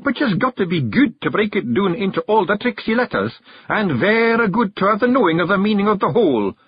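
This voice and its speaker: A man voicing a fierce, bossy little male fairy clan chief with a gruff, high Scottish brogue. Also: sing-song, fairly quick, highs cut off, heavily acted.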